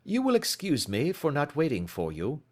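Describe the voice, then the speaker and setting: A man voicing a keen, proud, intense gentleman detective in an audiobook, making emphatic, deliberate declarations. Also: fairly high pitch, wildly swooping pitch, pitched above the performer's own voice. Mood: brisk, purposeful. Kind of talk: audiobook character voice.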